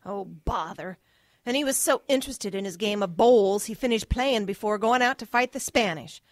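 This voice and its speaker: A no-nonsense English mother acted by a woman in an audio drama. She is brusque and scolding in a medium-pitched voice, recorded close and dry. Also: moderate pace, no echo, lightly acted. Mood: dismissive. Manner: brusque, nagging. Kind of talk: radio drama acting.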